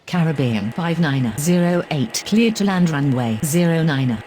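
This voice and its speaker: Composed, very low-pitched radio woman. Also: animated, measured pace, a little mellow, a little hiss or hum. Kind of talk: clipped radio phraseology.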